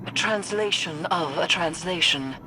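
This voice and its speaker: A woman's voice: on the low side, measured pace, heavy reverb, background noise, natural voice. A woman playing a grave mystic seer in a video game, speaking in portentous, precise, formal phrases. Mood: grave warning. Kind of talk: scripted video game dialogue.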